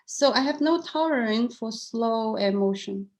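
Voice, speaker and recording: A middle-aged woman with a Cantonese accent answers interview questions in an earnest, candid way. She often repeats and restarts her words, and her voice sits a little high for a woman. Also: expressive, unhurried, darkish tone. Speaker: guest. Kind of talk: interview conversation.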